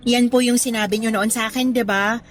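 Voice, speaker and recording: Woman acting young Filipino working woman, higher-pitched voice, polite, grateful, deferential, audio drama. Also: steady tone, brisk, natural voice. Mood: earnest, grateful.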